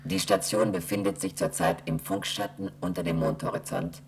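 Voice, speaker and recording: A woman voicing a station computer that reads out emergency rules in a flat, mechanical monotone. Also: a bass voice, moderate pace, slight background noise. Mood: dictating. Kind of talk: 1970s radio play acting.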